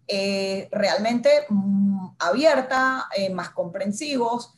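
Animated woman telling a newsroom story. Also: medium pitch, drawn-out words. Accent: Spanish-speaker's accent in English. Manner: animated storyteller who acts out dialogue. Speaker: TV news reporter. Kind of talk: storytelling in a conversational interview.

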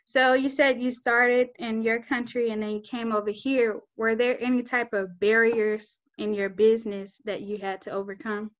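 Higher-voiced woman interview host. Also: flat delivery, normal speed, hardly any pauses, dull audio. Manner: brief affirming backchannels.

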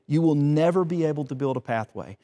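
A man voicing a patient, caring eldest brother in a parable, gentle and firm. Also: on the high side, expressive, lightly acted. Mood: reassuring. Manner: gentle, measured, soft-spoken. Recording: long hall reverb.

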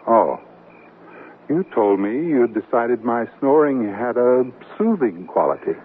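A man's voice: medium pitch, very animated, unhurried, breathy edge. A man playing a grumbling but affectionate middle-aged newlywed husband in a 1970s radio comedy drama. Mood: bantering. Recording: noisy, narrow-band 1970s radio recording.

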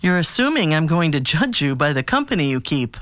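A woman playing a calm, patient therapist who asks probing questions in a measured, formal way in an audio drama. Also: low voice, dry recording. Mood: patient, pressing.

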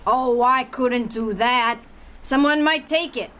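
A woman's voice: fairly high pitch, takes it slowly, fairly bright tone. A woman playing a sweet, nosy older neighbor in an audio drama, polite, fussy and chatty.

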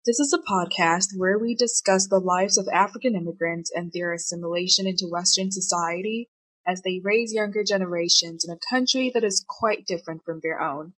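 A woman's voice: lowish voice, brisk, a bit bright, no echo, poor audio. A woman reading a formal scripted welcome for a podcast. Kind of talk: scripted narration.

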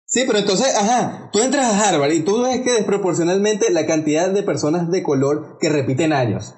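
A young Venezuelan man with a Venezuelan Spanish accent argues animatedly on a casual podcast, often tripping over his words. His voice sits a bit high for a man. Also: expressive, brisk, a little mellow. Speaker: podcast co-host.

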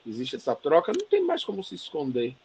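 A man's voice: on the high side, wildly swooping pitch, quick pace, a crowd in the background. A man from Bahia with a Bahian accent, speaking passionately as an interview guest, often tagging sentences with questions and restarting his phrases. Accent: Bahian Brazilian Portuguese. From Bahia, Brazil. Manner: passionate, emphatic, frequent "né" tags and restarts. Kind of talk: conversational interview.

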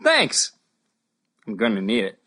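Woman acting a husky, very low-voiced young squire. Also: wildly swooping pitch, measured pace, a bit bright, full character voice. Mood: shy. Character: young male squire, nervous, earnest, putting on bravery.

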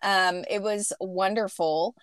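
Woman, 30s, podcast host, casual rambling storyteller, mid pitch, dry recording. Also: steady tone, takes it slowly, smooth, dry recording. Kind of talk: casual chat.

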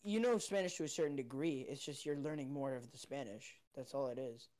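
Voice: mid-range voice, brisk, darkish tone. A young podcast co-host chatting casually, teasing and interrupting the others. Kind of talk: casual chat.

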